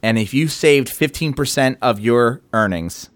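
Podcast guy, pitch all over the place, dry close sound, asks a lot and argues back. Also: measured pace, no room sound. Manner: questioning, skeptical, lively pitch swings. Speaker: podcast co-host. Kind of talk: casual podcast chat.

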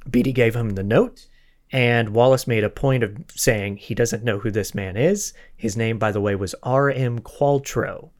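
A man's voice: mid-range voice, measured pace, a little mellow. A man hosting a podcast, retelling a historical mystery in an informal, conversational way with joking asides and self-corrections. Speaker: podcast host telling a true crime case.

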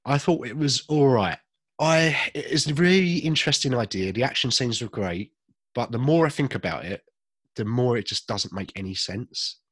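Chatty man with a husky voice. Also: mid-range voice, animated, normal speed, no echo, clean studio sound. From United Kingdom. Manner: chatty, self-deprecating, laughs often. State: slight cough, a bit chesty. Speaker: podcast co-host. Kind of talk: casual chat.

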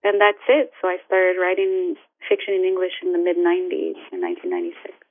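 A woman from Morocco explaining her writing in an interview, measured and thoughtful, with frequent "you know" fillers. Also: on the low side, expressive, no room sound. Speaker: novelist. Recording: tinny phone line.

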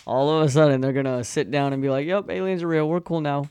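A man's voice: fairly high pitch, fairly even intonation, fast talker, darkish tone, smooth. A man co-hosting a podcast, chatting casually in a laid-back, joking way. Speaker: podcast co-host. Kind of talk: casual chat.

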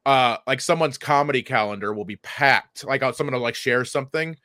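Bright-voiced man chatting in a dry room. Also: medium pitch, fairly quick, no room sound. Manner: stammering, repeats words, swears casually. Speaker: comedian and podcast co-host. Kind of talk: casual chat.

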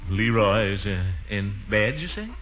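Casting: blustery, pompous but tender-hearted uncle, highly animated delivery, vintage radio sound. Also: male voice, a little slow. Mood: remorseful. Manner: blustering, sighing, swings from scolding to sheepish. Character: blustery, pompous, soft-hearted middle-aged uncle raising his nephew. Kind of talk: 1940s radio comedy acting. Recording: dull 1940s radio recording.

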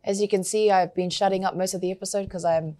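A woman hosting a podcast, chatty and quick, with playful asides between serious points. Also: mid-range voice, steady tone, dark tone. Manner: quick, chatty, laughs easily. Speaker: podcast host. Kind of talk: casual podcast chat.

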